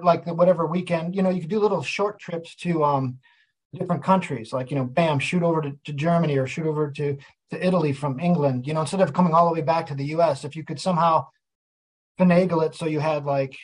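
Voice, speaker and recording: A man co-hosting a podcast and talking about his trip in a loose, easygoing way. His speech is heavy on "like" and "you know," and his voice sits a little high. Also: steady tone, fast talker, low-quality recording. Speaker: podcast co-host. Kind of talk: casual chat.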